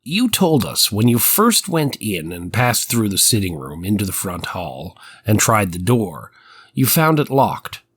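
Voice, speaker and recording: Man as methodical prosecutor with very lively intonation. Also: on the low side, a little slow, husky, heavily acted. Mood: probing, formal. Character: methodical male prosecutor questioning witnesses in 1890s courtroom. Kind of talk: dramatized reading of a trial transcript.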